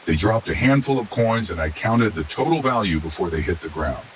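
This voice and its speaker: A man's voice: on the low side, fairly quick, poor audio. A man reading a scripted first-person horror story as its narrator. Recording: steady hiss behind the voice.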